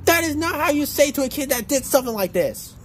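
A teenage boy casually commenting on short videos outdoors, excitable and exclaiming, with stumbling restarts. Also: extremely high pitch, fast, slight background noise. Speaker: reaction video host. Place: outdoors on a patio by a pool. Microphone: phone camera pointed at a laptop.

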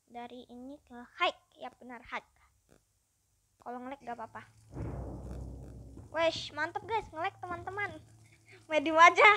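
A gaming YouTuber giving upbeat, chatty let's-play commentary and constantly addressing the viewers. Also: female voice, high-pitched, measured pace, pauses often, sharp tone, slight background noise.

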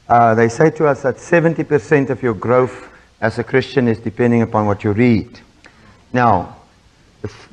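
A man's voice: medium pitch, poor audio. A man with an Afrikaans accent giving an informal sermon, chatty and full of fillers and restarts. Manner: chatty, self-interrupting with frequent fillers. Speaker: preacher.

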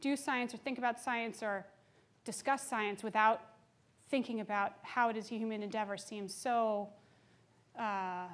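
A woman with a slightly high voice answers questions from a lecture hall stage. She is thoughtful and restarts often, trailing into asides before returning to her point. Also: steady tone, unhurried, a bit bright. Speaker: keynote speaker answering questions.

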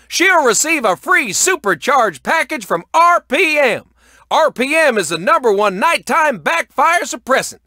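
A man playing an excitable, folksy race announcer in a cartoon racing video game, with showy sports commentary delivery. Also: very high-pitched, no echo, studio quality, heavily acted. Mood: upbeat announcing.

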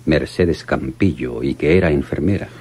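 An actor in a radionovela playing a methodical, steady police lieutenant with a deliberate, authoritative manner. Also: male voice, a bass voice, slightly rough. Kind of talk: old radionovela acting.

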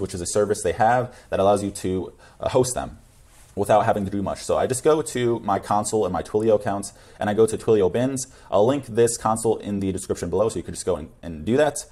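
A man's voice: on the low side, darkish tone. A young man presenting a coding tutorial in a casual screencast style. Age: young adult.

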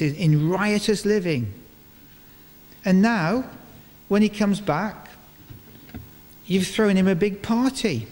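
An older man giving a sermon in a large church, speaking into a stand microphone in measured phrases with deliberate pauses. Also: high-pitched, darkish tone. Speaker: preacher. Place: large stone church, indoors, before a congregation. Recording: reverberant church hall, clear background noise.

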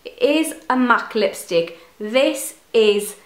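Young woman vlogging from her bedroom, stuffy nose from a cold, kinda high voice, gushy, echoey room. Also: lively intonation, slow. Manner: chatty, enthusiastic, gushing. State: nasal from a cold. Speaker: beauty vlogger. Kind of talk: casual product review to camera. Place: bedroom, indoors. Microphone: camera in front at close range.

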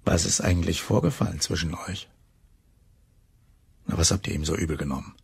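Wanted: radio-play lighthouse man, deep and heavily raspy, reflective, troubled, conscientious. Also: a little fast, heavily acted. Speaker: actor in an audio drama. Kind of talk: German crime radio play acting.

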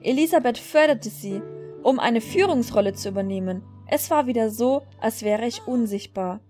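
Bitter young horsewoman for a fantasy game, read aloud by a woman: brooding, guilt-laden, higher-pitched, music underneath. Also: lively intonation, normal speed, darkish tone, lightly acted. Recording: music behind the voice.

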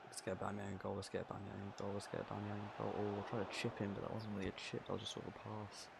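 Male gaming host, croaky and gravelly, excitable play-by-play over a noisy recording. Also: lowish voice, fast. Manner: excitable, swears and laughs at own play. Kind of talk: casual game commentary.

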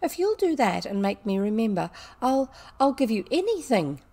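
A woman voicing a timid, slow-witted, plump schoolgirl who is eager and anxious, with a plaintive, exclamatory delivery, as in a storybook reading. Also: fairly high pitch, vocal fry, a little mellow, voice pushed up. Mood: hopeful.

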